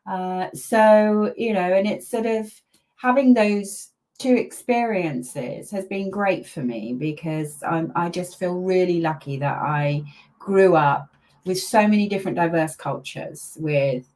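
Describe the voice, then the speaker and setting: Low-voiced grandmother chatting in an interview. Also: slow, poor audio. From Malaysia. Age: older. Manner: chatty, warm laughs, many fillers. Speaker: parenting coach, interview guest. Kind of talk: casual interview over a video call.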